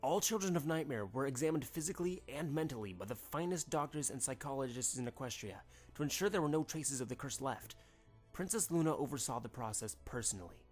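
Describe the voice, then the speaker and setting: A man's voice: mid-range voice, lively intonation, brisk, faint music behind, lightly acted. Man acting a firm, earnest stallion. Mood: firm, reassuring. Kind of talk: audio drama dialogue.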